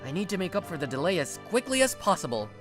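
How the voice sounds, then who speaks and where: An exasperated, guarded young man at the office acted by a woman in a manga video dub. The voice sits a little low for a woman and swings widely in pitch as he defends himself. Music plays underneath. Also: very animated, fast talker. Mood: defensive, exasperated. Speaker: narrator and lead of a manga dub. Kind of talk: dramatized first-person story narration and dialogue.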